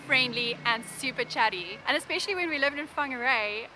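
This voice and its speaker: A woman's voice: high-pitched, brisk, noisy background. A young woman with a South African accent, chatting cheerfully as she answers viewer questions for a vlog. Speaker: vlogger.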